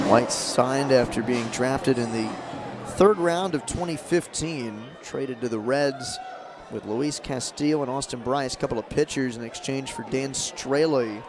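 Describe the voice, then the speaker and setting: A man doing live radio play-by-play of a baseball game, describing the action in short, clipped, measured phrases. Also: mid-range voice. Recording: clear outdoor ballpark noise behind.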